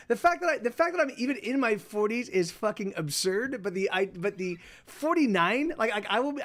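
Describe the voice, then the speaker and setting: A man in his forties hosting a livestream, chatting casually with viewers in halting, self-interrupting sentences and frequent swearing. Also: sits high, fairly bright tone. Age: 40s. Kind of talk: rambling casual chat.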